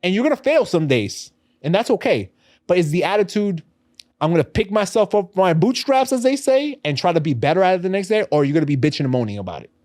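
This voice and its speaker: A man's voice: sits high, big pitch swings, fast talker, a little mellow, no room sound, clean studio sound. A man in his thirties with a New York accent, co-hosting a podcast in casual, emphatic, slangy chat. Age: 30s. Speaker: podcast co-host. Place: podcast studio.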